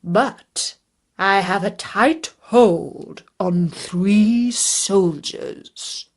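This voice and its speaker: A woman voicing a scornful, boastful male dragon with a slow, gloating, drawn-out delivery in a fairytale. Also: mid-range voice. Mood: smug. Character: scornful, boastful male dragon who loves cunning riddles.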